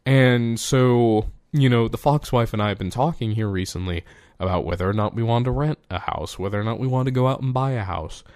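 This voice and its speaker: A man's voice: medium pitch, darkish tone, no echo. Guy in his 20s just rambling on his podcast, cusses a lot, laughs at himself, dry close sound. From United States. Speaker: podcast host. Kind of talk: casual solo podcast monologue.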